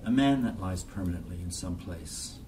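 Deep, dark-voiced man reading poetry. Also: expressive, normal speed, recorded from a distance, a little hiss or hum. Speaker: poetry reader. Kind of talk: literary poem reading.

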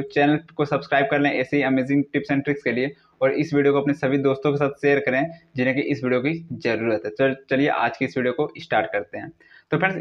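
A young man narrates a casual how-to tutorial, mixing English words into step-by-step instructions. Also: medium pitch. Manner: repetitive, instructional phrasing with English words mixed in. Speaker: tutorial video host. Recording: dull lo-fi recording.

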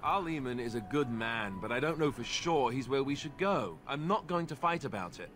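A man's voice: medium pitch, expressive, normal speed, fairly bright tone, natural voice. An actor playing a deferential young male warrior in a video game, with a wry, conversational manner. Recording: clear background ambience noise.

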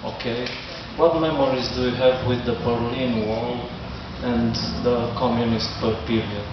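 A man's voice: medium pitch, fairly even intonation, measured pace. A middle-aged man hosting a festival stage interview, asking formal, structured questions. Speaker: host. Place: large festival tent lounge with audience. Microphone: handheld mic. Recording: echoey, distant, dull with noise.

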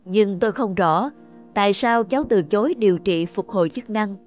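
A woman's voice: mid-range voice, moderate pace, dull audio, faint music behind, lightly acted. A woman voicing a serious, concerned doctor in a cartoon drama, speaking earnestly. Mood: grave, concerned. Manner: earnest, explanatory.

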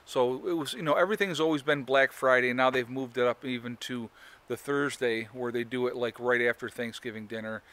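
A man's voice: mid-range voice, steady tone, brisk, fairly bright tone. A man in his forties talking casually to a handheld camera outdoors, rambling with many fillers and restarts. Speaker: homesteading vlogger. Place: outdoors in woods on a sunny day. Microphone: phone or camera held at arm's length.

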